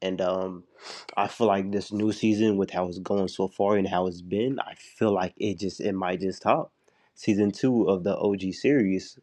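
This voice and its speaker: A man's voice: low voice, fairly even intonation, normal speed, a little mellow. A young man giving a loose, chatty spoken review, full of "like" and restarts, while a little sick. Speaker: show reviewer.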